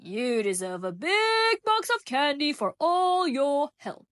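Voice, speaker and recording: A woman voicing an excitable factory boss man in a children's story, exclaiming with drawn-out calls. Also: high voice, no echo, exaggerated voice. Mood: grateful. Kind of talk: storybook character voice.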